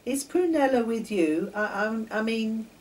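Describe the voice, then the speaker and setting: A woman voicing a shy, hesitant male toothbrush in a children's story, speaking politely and haltingly. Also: a little high, darkish tone, voice pushed up, natural voice. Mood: nervous probing. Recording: distant mic, noticeable background noise.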